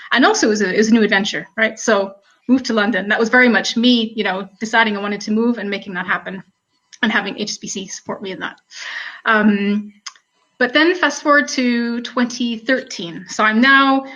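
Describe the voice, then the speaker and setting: A woman in her thirties with a Canadian accent, telling her career story in a relaxed talk full of ums and "you know". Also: a little high. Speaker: panel speaker. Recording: muffled lo-fi audio.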